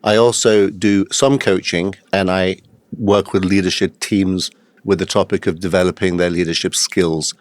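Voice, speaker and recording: A man in his fifties, a guest in a podcast interview, telling his story in a steady, matter-of-fact way with the odd hesitant "uh.". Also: low-pitched, normal speed, no echo. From England. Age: 50s. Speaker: guest, executive coach.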